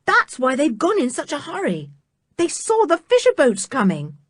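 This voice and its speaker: A woman reading aloud, voicing an excitable young tomboy girl in a storybook with a high, breathless voice. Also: moderate pace, dry recording, studio quality. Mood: excited.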